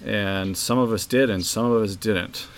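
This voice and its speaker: A man's voice: fairly low pitch, steady tone, a little slow. A man in his forties from California, a travel blogger answering interview questions in short, matter-of-fact sentences with fillers.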